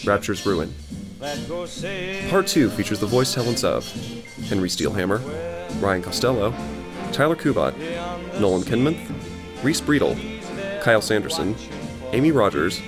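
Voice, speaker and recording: A man as announcer, reading scripted radio drama credits. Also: on the low side. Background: music.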